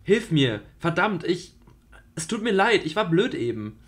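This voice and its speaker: A man's voice: sing-song, measured pace, full character voice. A man voicing Julie, a reckless, mocking teenage girl, also reading the stage directions of a radio play. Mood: pleading. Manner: taunting, shouting, high-pitched outbursts.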